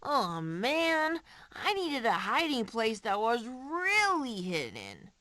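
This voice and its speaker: A woman voicing a stubborn, grumbling young animal child in an animated prehistoric video game. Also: a little high, takes it slowly, no echo, full character voice.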